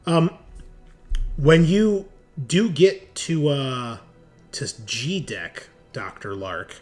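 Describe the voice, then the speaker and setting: A man's voice: medium pitch, extremely slow, a little mellow. A man acting as game master, improvising narration for a tabletop horror roleplaying game in an informal way. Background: background music.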